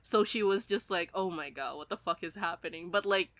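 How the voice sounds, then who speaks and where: Bright-voiced young woman, dry close recording. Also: lowish voice, brisk, no echo. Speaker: podcast co-host. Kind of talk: casual fan chat.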